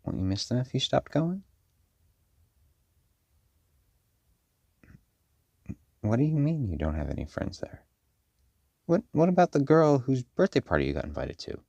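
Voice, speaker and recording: A man playing a firm, protective werewolf father in an audio roleplay, speaking to a child in a measured, caring but stern way. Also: medium pitch, sing-song, creaky, no room sound, dull audio. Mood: firm, parental. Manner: measured, parental, gently firm.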